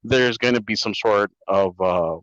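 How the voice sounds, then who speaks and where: A man in his forties whose first language is Spanish, telling stories in a relaxed, rambling way as an interview guest over a call. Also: lowish voice, measured pace, no room sound, lo-fi sound. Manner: relaxed, rambling storyteller with many fillers. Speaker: interview guest, comic writer. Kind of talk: casual interview conversation.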